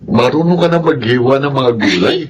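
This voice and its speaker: A man's voice: mid-range voice, expressive, fairly quick, a little hiss or hum, close to an everyday voice. A man playing a kindly, attentive foster father in a Filipino radio drama. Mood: approving.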